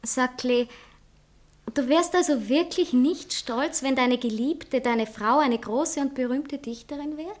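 A woman's voice: sits high, a little slow. A woman playing a gushing, touchy bohemian poetess in a satirical comedy, with an Austrian accent and an affected, earnest manner. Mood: piqued. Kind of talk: satirical stage comedy acting.

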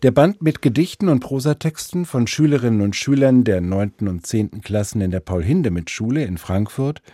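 A man's voice: mid-range voice, expressive, a little fast, warm tone, no room sound. A man working as interviewer and host of a cultural radio programme, speaking in a studio.

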